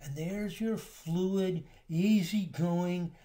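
A man talks through a slideshow lecture in an easygoing, explanatory way, stopping here and there on small fillers. His voice sits somewhat high for a man yet sounds warm and mellow rather than bright. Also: extremely slow. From United States. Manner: relaxed, explanatory, with filler words. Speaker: astrology lecturer.

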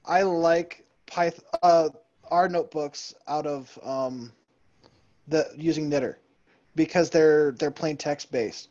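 A man giving opinions in an unhurried way during a video call discussion. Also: on the high side, a little mellow. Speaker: meetup participant. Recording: dull video call audio.

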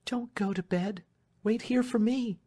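A man voicing an earnest, agitated young lady in an audiobook, pleading with urgent, hushed entreaty. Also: sits high, lively intonation, slow pace, pitched above the performer's own voice, exaggerated voice.

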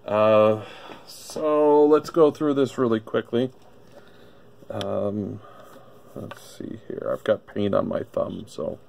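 Gravelly, slow-talking man demonstrating a gadget. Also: fairly low pitch, steady tone, darkish tone, a little hiss or hum. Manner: halting, thinking aloud. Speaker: gadget reviewer. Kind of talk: casual unscripted product demonstration. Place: indoor electronics workbench.

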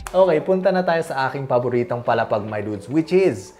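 A man's voice: medium pitch, expressive, fast talker. A young man with a Filipino accent giving a casual, playful scripted house tour as a presenter. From Philippines. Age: young adult. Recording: music bed behind the voice. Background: music.

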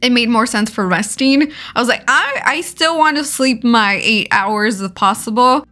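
A woman's voice: fairly high pitch, moderate pace, bright, forceful tone, faint music behind. A woman narrating her road trip vlog in a casual, chatty way, giggling often and filling her talk with "like". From California. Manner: chatty, giggly, many "like" fillers. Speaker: vlogger.

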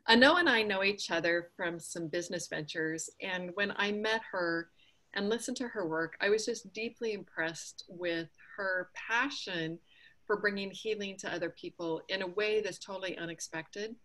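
A woman's voice: medium pitch, moderate pace, pushed and bright, rough recording. A woman with a mid-range voice hosts an online event, introducing her guest in effusive, enthusiastic terms. She is heard over a video call. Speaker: host. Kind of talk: online summit interview.

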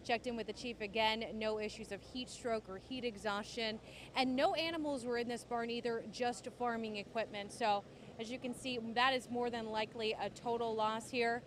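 Female TV field reporter, American, thirties, fairly high voice, light outdoor noise. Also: fairly even intonation, normal speed. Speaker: TV news reporter. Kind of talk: live field news report. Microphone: handheld reporter microphone.

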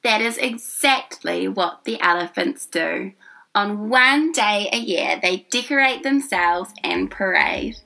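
A woman's voice: medium pitch, slow pace, gravelly, bright, forceful tone, low-quality recording. A woman in her twenties reads a children's picture book aloud expressively, enunciating carefully for young listeners. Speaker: children's story reader. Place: small furnished room indoors.

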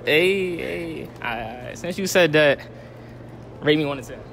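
A man's voice: on the high side, a little slow, vocal fry, a bit bright, slight background noise. A young man casually asking interview questions out on a mall concourse. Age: young adult. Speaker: vlog co-host interviewing. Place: large indoor mall concourse.

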